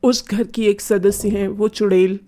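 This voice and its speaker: Woman playing a solemn, unhurried male priest. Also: medium pitch, fairly even intonation, mellow, natural voice. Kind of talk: children's cartoon horror story.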